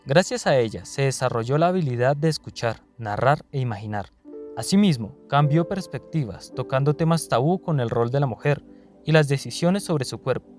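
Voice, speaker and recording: A man's voice: medium pitch, lively intonation, normal speed, a little mellow, faint music behind. A man with a Colombian accent reading scripted narration for a radio documentary.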